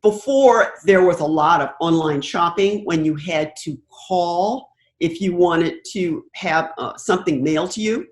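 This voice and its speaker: An older American woman telling anecdotes conversationally as an interview guest, thoughtful and pausing to find her words. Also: lowish voice. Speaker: interview guest, broadcast producer. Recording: roomy, echoing sound.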